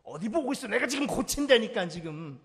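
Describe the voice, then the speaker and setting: Guy doing a booming high healer guy, insistent, echo all around. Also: big pitch swings, brisk, crowd noise. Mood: emphatic. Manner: loud, emphatic, coaxing.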